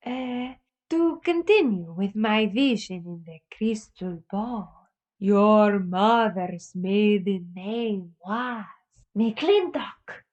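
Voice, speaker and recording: A woman's voice: mid-range voice, animated, drawn-out words, a little mellow, muffled recording. A woman playing a solemn, theatrical phony psychic with a grandiose, mystical delivery in a comedy audio drama. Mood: lofty, pious.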